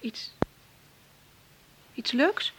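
An actress playing a devoted, inquisitive housekeeper in a Dutch radio play, with a husky voice and a flustered, deferential manner. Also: female voice, drawn-out words, a bit bright, whispered. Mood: anxious. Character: Hilde, devoted, easily flustered female housekeeper.

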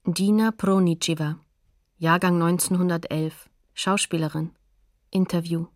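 A woman's voice: fairly low pitch, steady tone, measured pace, no echo, clean studio sound. A woman announcing sources in a factual, formal scripted narration for a radio documentary.

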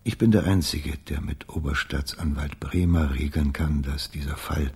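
An actor playing an evasive, self-justifying agency boss in a radio crime drama, measured and defensive. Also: male voice, a bass voice, dark tone, breathy edge, exaggerated voice. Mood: contrite, measured. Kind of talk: German radio play acting.

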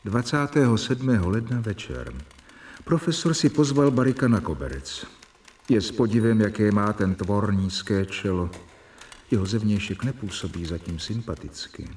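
An actor playing a young doctor reading his diary in a calm, measured way, in a radio drama. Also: on the low side, natural voice.

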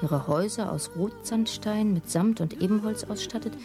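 An actress playing a sharp-tongued, teasing girlfriend in a radio play, with a declamatory delivery. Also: mid-range voice, normal speed, music in the background, close to an everyday voice. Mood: mock declamation.

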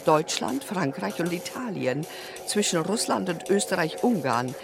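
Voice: mid-range voice, very animated, a little slow, creaky, music in the background. A performer playing an official who reads a diplomatic dispatch precisely and formally in a historical radio drama. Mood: neutral.

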